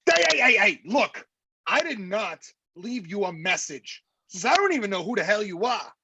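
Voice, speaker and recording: A jittery, cowardly crook in a noir stage comedy, played by a man, stammering and wisecracking in indignation. The voice is husky and rough-edged, recorded dry. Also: sits high, very animated, normal speed, sharp tone, no echo, exaggerated voice. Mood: indignant. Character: flustered, cowardly, wisecracking male crook and husband.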